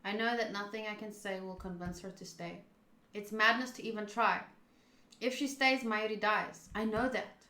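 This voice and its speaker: A woman's voice: mid-range voice, steady tone, normal speed, sharp tone, echoey room. A woman streaming a visual novel, reading the narration aloud and reacting casually and expressively.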